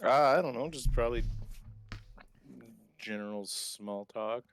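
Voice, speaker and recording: A man's voice: mid-range voice, unhurried, fairly bright tone, faint music behind. A man chatting casually as a player in an online tabletop role-playing game. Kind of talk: casual chat. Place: online group call.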